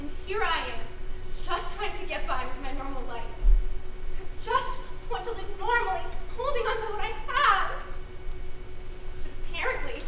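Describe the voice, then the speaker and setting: A woman's voice: very high voice, lively intonation, moderate pace, long pauses. A young woman playing a bitter, despairing teenage girl in a stage play, theatrical and sarcastic, recorded in an echoey space. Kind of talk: one-act stage play monologue and dialogue. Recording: echoey stage recording from far off, faint noise.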